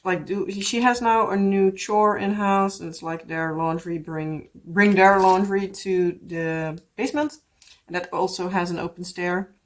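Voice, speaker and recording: A woman's voice: lowish voice, steady tone, measured pace. A woman with a Dutch accent, a native Dutch speaker, chatting casually in a rambling way as a craft vlogger. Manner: rambling, full of "like" and "yeah". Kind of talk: casual chat.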